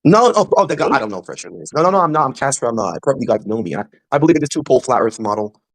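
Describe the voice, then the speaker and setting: A man's voice: mid-range voice, big pitch swings, rapid-fire. A man arguing his point in a heated online debate, insistent and repeating himself. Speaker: debate participant.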